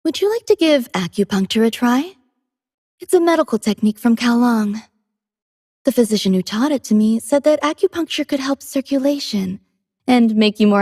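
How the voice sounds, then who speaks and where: A woman voicing a gentle, devoted female android musician in a video game, soft-spoken, tender and poetic in a measured delivery. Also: fairly high pitch, no room sound, studio quality. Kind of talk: scripted game character voice lines.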